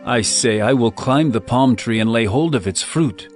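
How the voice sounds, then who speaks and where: An adoring royal lover voiced by a male actor declaims in a mid-range voice over soft music. His delivery is measured and ardent, in the poetic style of a dramatized scripture audiobook. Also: medium pitch, natural voice.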